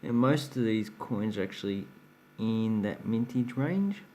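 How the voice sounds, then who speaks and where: Male hobby host, lowish voice, faint noise behind, chatty show-and-tell. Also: lively intonation, measured pace, mellow. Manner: chatty, explains while handling coins, laughs at his own slips. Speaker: coin collecting channel host. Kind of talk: casual show-and-tell vlog.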